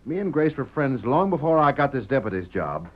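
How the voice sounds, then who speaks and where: A muffled vintage radio recording features a young frontier deputy. His American voice is mid-pitched and very animated, plain-spoken and indignant in a folksy cowboy manner. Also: fairly quick. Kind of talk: old-time radio western acting. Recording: dull, lo-fi old radio recording.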